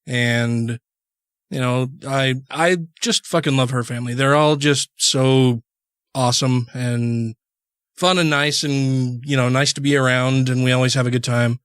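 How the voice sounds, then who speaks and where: A man's voice: mid-range voice, steady tone, fairly bright tone, clear, resonant tone, dry recording. Rambling man in his forties, dry sound. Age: 40s. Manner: rambling, halting restarts. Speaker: podcast host. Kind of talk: casual chat.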